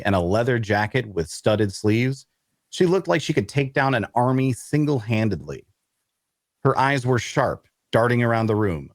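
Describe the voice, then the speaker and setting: A man's voice: mid-range voice, no echo, studio quality, natural voice. A man playing a wry, observant first-person narrator, reading descriptive, colloquial narration for a fantasy audio drama. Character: observant, wry male storyteller in a fantasy tavern.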